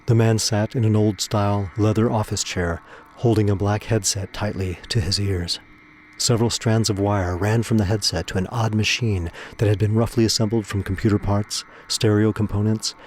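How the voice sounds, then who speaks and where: A man's voice: on the low side, a little mellow, a little hiss or hum. A man reading scripted dramatic narration for an audio drama. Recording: music under the voice. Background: music.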